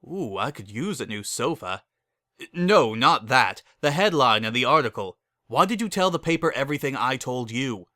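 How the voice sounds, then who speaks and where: A man's voice: mid-range voice, very animated, moderate pace, pushed and bright, no room sound, studio quality. A man voicing an exasperated, easily sidetracked cartoon unicorn pony, plain-spoken and grumbling.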